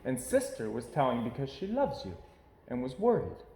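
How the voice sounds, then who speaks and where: A man voicing a gentle mother bear in a children's picture book, explaining things patiently and reassuringly. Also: medium pitch, huge pitch swings, normal speed, reverberant. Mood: gentle, calm.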